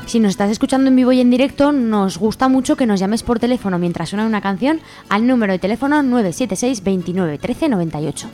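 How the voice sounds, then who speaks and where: A woman's voice: fairly high pitch, quick pace, few pauses. A woman with a Spanish accent from Spain, co-hosting community radio and reading out announcements. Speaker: radio co-host. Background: music bed.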